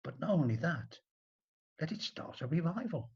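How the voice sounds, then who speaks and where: A man narrating a rhyming story in an expressive, dramatic storybook style with deliberate phrasing. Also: a little high, slightly rough, lo-fi sound.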